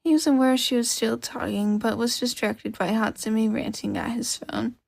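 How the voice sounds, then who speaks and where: A woman reading scripted fiction as an expressive audiobook-style narrator. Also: fairly high pitch, moderate pace, vocal fry, dry recording, clean studio sound. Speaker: podfic narrator.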